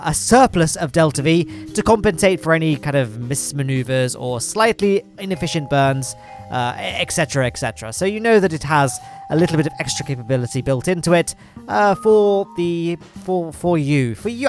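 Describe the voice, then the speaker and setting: A man's voice: a little high, expressive, moderate pace, faint music behind. Nasal, congested English man rambling over gameplay. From England. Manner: rambling, self-deprecating, lots of fillers and restarts. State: congested from hay fever. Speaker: gaming channel host. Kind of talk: chatty gameplay commentary.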